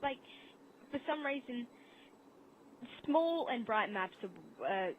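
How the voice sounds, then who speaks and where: A woman in a casual gaming discussion over voice chat, halting and repeating words as she goes. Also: a little high, sing-song, narrow, tinny audio. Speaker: podcast guest. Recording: faint noise, online voice chat.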